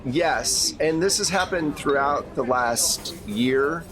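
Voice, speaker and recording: A man's voice: fairly high pitch, takes it slowly, music in the background. A man acting as game master, giving a conversational, explanatory briefing in a tabletop roleplay.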